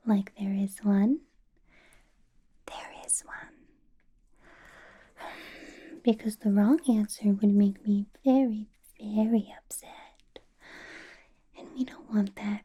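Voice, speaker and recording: Woman acting a slow, whispering, possessive vampire boss. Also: mid-range voice, warm tone. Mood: softly menacing. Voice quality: breathy whisper. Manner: slow, deliberate, coaxing, teasing. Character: possessive, doting female vampire boss, playfully menacing. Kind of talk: whispered ASMR roleplay, personal attention.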